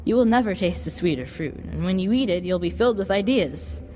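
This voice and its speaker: A woman playing a smooth-tongued devil boy in an audio drama, coaxing like a salesman and offering tempting gifts. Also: medium pitch, animated, a little fast, a little mellow, natural voice. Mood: sweetly tempting. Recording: long reverb, background noise.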